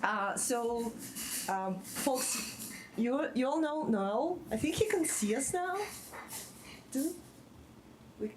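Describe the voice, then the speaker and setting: A woman hosting a meetup, giving an informal introduction in a halting way, searching for her words. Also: high voice, expressive, background noise. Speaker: meetup host.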